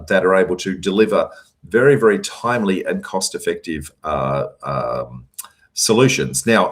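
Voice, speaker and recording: A man, hoarse and losing his voice, hosting a live question-and-answer session and giving emphatic advice with plenty of fillers. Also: low voice. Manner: emphatic, repeats words for stress, frequent fillers. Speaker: host answering audience questions.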